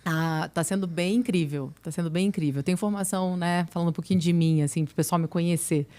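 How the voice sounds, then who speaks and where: A quick-speaking woman from Niterói, with a Rio accent worn smooth by years in São Paulo. Her voice sits a little low, and she tells her career story as an interview guest. She brings her anecdotes to life by acting out the dialogue. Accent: Rio de Janeiro Portuguese, softened by years in São Paulo. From Niterói, Brazil. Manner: animated storyteller who acts out quoted dialogue. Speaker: guest, marketing executive. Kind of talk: casual interview talk.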